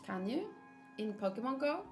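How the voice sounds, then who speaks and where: A woman's voice: fairly high pitch, big pitch swings, moderate pace, music in the background. A woman chatting informally on a gaming livestream, reacting aloud as she talks. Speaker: streamer. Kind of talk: casual livestream chat while gaming.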